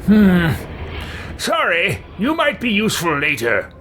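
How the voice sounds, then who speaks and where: A man's voice: high-pitched, wildly swooping pitch, slow pace, fairly bright tone, slight background noise, voice pushed up, exaggerated voice. A man voicing a sly, self-serving mage adventurer in a fantasy game roleplay, with a theatrical delivery.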